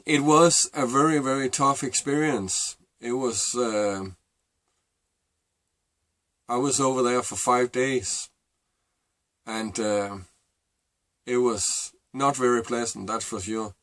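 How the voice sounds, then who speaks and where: A middle-aged man with a Danish accent, a native Danish speaker, telling a personal story to camera in a halting, reflective way. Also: mid-range voice, fairly even intonation, fairly bright tone, dry recording. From Denmark. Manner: halting and reflective, with many fillers and restarts. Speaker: vlogger.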